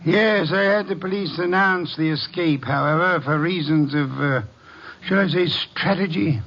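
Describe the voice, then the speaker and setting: A haughty older detective of old-time radio, with a fairly high voice and precise, imperious delivery. He is pompous and dry, and the recording is dull and aged. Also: normal speed, a bit bright. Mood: smug, lecturing. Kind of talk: 1940s-50s radio drama acting.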